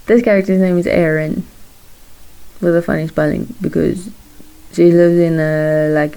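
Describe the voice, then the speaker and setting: Shy young woman vlogging while she draws, low and mellow, halting with frequent soft laughs. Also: gravelly, rough recording. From Morocco. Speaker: vlogger, aspiring character designer. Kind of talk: casual chat while drawing.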